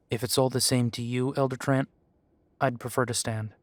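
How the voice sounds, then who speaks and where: A man's voice: medium pitch, little pitch movement, a little slow, no echo, natural voice. A man playing a defiant, bewildered young werewolf who is reluctant to lead, blunt and questioning, in an audio roleplay drama. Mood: frustrated, defiant.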